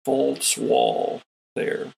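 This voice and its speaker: Male game master, heavily fried and raspy voice, slow-paced descriptive narration for a tabletop adventure. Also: rumbling low, wildly swooping pitch, a little mellow. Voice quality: gravelly, husky.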